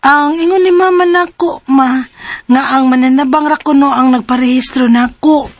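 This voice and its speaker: A woman's voice: sits high, steady tone, normal speed, no room sound, natural voice. An actress playing an earnest fifteen-year-old girl who pleads, in a radio drama. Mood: pleading. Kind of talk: radio drama acting and narration. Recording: radio drama with music behind.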